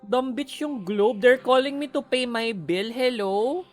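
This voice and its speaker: A woman's voice: on the high side, unhurried, faint music behind. A young Filipino woman streaming a game, chatting casually and sassily with her viewers and mixing in slang. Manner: chatty, sassy, peppers talk with "girly" and code-switching. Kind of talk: casual live-stream chat.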